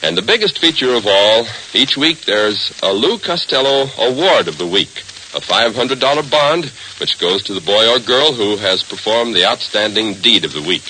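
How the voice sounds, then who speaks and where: A man's voice: fairly low pitch, lively intonation, normal speed, pushed and bright, slight background noise, poor audio. An American man announcing in an emphatic, theatrical 1940s radio style, on a vintage broadcast recording.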